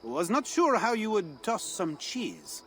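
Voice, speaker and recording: A man's voice: high voice, huge pitch swings, slow pace, a bit bright, heavily acted. An actor playing a playful, pleasure-loving Frankish man in a video game, with a teasing, suave and theatrical manner. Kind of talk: dramatic video game cutscene acting. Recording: music under the voice.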